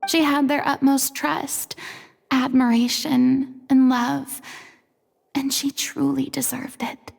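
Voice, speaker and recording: A woman's voice: sits high, little pitch movement, slow pace, gravelly, darkish tone, exaggerated voice. A woman voicing a confident, earnest young mentor of performers in a video game, with grand, theatrical phrasing. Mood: tender, subdued. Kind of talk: dramatic video game voice acting.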